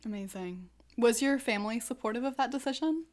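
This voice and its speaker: A woman's voice: on the high side, a little fast, darkish tone. A woman hosting an interview, asking questions and responding with frequent encouraging affirmations.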